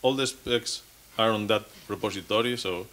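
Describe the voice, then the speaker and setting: Halting man giving a tech talk. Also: fairly low pitch, a bit bright. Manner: halting, many restarts and repeated words. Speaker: conference speaker. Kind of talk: technical conference talk. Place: conference stage.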